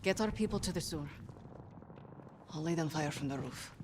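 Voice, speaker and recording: An actress playing a tough, determined young Soviet sniper with a Russian accent and a clipped, commanding way of talking, in a dramatic game cutscene. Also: female voice, low voice, moderate pace, background noise, close to an everyday voice. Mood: resolute. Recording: music behind the voice.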